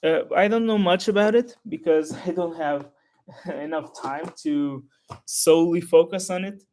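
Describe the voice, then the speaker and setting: Halting man, Portuguese native speaker. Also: fairly high pitch, darkish tone. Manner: halting, rambling, frequent restarts. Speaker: history YouTuber. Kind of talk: unscripted solo talk to camera.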